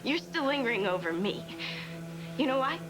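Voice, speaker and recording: A tearful young woman, very high voice. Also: very animated, moderate pace, music in the background, poor audio. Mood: bitter, shaken. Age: 20s. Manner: raw, tearful, swinging between hushed and shouting. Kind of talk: TV drama acting.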